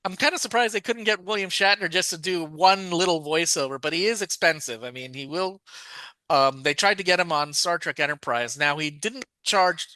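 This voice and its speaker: Guy on a podcast rambling about a show, bright forceful voice, fairly high, dry close sound. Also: moderate pace, no echo, poor audio. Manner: rambling, digressive, frequent fillers and self-corrections. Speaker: podcast co-host. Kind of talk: casual chat about a TV show.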